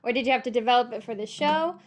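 A woman's voice: on the high side, racing. A young adult woman, a voice actor, chatting casually in a hesitant manner. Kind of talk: casual chat.

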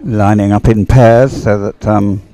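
A man with a low, mellow voice commentates live on sport. He talks in a chatty, unhurried way, often halting as he thinks aloud. The sound is dry and close. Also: steady tone, no room sound.

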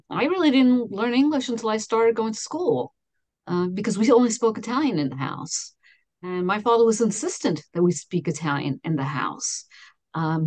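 A woman in her fifties from Queens, a guest on a video call, telling family stories in an animated way with many restarts. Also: medium pitch, no room sound. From Astoria, Queens, New York. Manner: animated storytelling, many restarts and "you know". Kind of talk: casual conversation. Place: home office with framed certificates.

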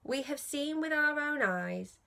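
Female children's storyteller, thirties, higher-pitched and very expressive, sing-song rhyming delivery. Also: gravelly. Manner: slow, sing-song rhyming delivery with emphasis on key words. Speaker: children's author reading aloud.

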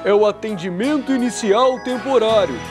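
A man reading a mock instructional voice-over in a deadpan manner. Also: high voice, normal speed. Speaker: narrator. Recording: voice-over over loud music.

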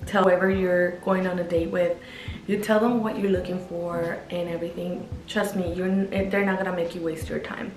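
Brief: young woman vlogger, chatty and upbeat, recorded in an echoey bedroom with faint background music. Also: mid-range voice. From Guatemala. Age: 20s. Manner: chatty, upbeat, lots of "like" and "you know". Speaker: YouTuber. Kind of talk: casual Q&A vlog. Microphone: camera at medium distance.

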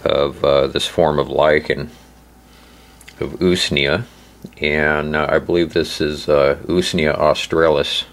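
A man with a hoarse, laryngitis-affected voice giving an informal instructional narration, halting and pausing often as he explains. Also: extremely low pitch, flat delivery. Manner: halting, hesitant delivery with frequent pauses. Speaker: host of a survival channel.

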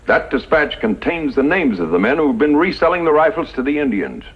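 A man playing a grave army commander in a 1950s radio western, speaking in a deliberate, formal way. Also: fairly high pitch, sing-song, breathy edge. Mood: grave, commanding. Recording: dull, muffled 1950s radio recording.

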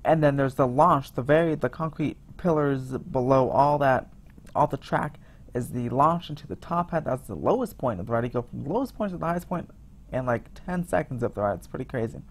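A man giving a casual voice-over about construction photos, rambling with many restarts and fillers, sounding excited. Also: medium pitch, brisk, slight background noise. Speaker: theme park vlogger.

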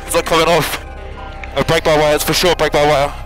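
A man's voice: on the high side, little pitch movement, fairly quick. A man, a racing driver, making urgent, clipped reports over team radio. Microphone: helmet radio mic. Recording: team radio with music and engine noise. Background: music, car noise.

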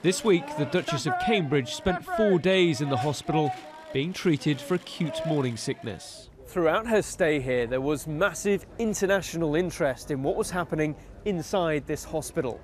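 Male reporter, raised pitch. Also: animated, normal speed. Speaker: television news reporter. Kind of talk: scripted news report.

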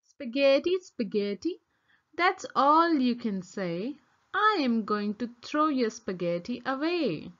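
A woman's voice: a little high, sing-song, takes it slowly, a bit bright, highs cut off, exaggerated voice. A woman voicing an insistent, coaxing young male monkey playmate from a children's storybook. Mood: exasperated. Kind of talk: children's storybook read-aloud.

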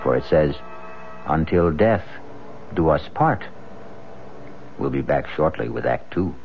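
A man hosting and narrating a 1970s radio suspense drama in a theatrical, deliberate, ominous manner. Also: a bass voice, animated, creaky. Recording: dull old radio recording, music behind.

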